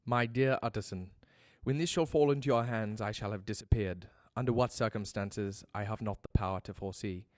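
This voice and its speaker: A man's voice: on the low side, a little fast, close to an everyday voice. A young man playing a desperate, doomed Victorian doctor in an audio drama, speaking his letters in a grave, pleading, formal way. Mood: resigned, doomed. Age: young adult.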